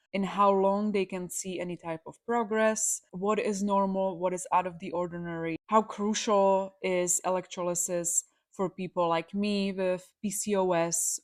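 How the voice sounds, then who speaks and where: A young woman with a Czech accent, speaking a little lower than most women. She tells her story in a chatty, candid way with fillers and small restarts, like a relaxed personal vlog. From Czech Republic. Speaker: electrologist.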